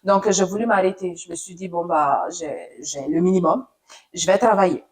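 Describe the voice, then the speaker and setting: A woman's voice: lowish voice, moderate pace. A woman born in Italy, an Italian speaker, telling her career story as a radio journalist in an interview, thoughtful with many fillers.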